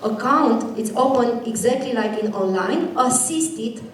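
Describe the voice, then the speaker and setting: Woman, halting, in an echoey hall. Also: fairly high pitch, lively intonation. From Romania. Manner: halting, searching for words. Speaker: bank executive panelist. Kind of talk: conference panel discussion. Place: conference stage.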